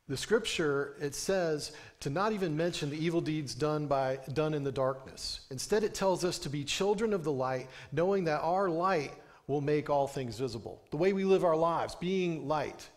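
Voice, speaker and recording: A man in his thirties, a pastor giving a sermon from a pulpit microphone in a small church, telling stories in an earnest way and lifting his voice for emphasis. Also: a little high. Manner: earnest storytelling with emphatic rising lines. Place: small church sanctuary, indoors.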